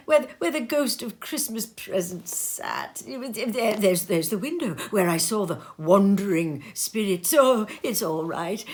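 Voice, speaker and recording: A woman's voice: medium pitch, fairly bright tone. A woman reading a storybook aloud and voicing an elderly male miser, reformed and giddy with joy, who exclaims breathlessly and laughs through tears. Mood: excited. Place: home sitting room.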